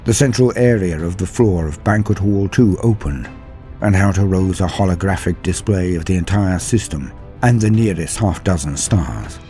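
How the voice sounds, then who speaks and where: A man narrating a dramatic science fiction story in a measured, theatrical way. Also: low-pitched, dark tone, soft background music.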